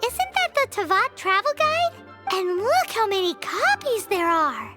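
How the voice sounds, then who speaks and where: A woman voicing a tiny, childlike fairy companion in a video game, squeaky, perky, excitable and cheeky. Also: unhurried, soft background music, full character voice. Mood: curious. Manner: speaks of herself in the third person, suspicious, perky.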